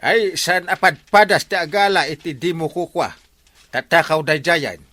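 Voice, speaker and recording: A man's voice: fairly high pitch, moderate pace, bright, forceful tone. An actor playing an indignant village watchman in an Ilocano radio comedy, scolding and accusing with exclamations in an Ilocano accent.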